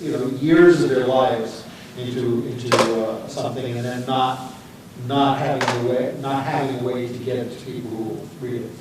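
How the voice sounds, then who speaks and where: A man speaking off the cuff in a panel discussion, haltingly, often searching for his words. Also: mid-range voice. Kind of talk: panel discussion, unscripted talk. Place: echoey room, recorded at a distance. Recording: very echoey room, distant mic, faint noise.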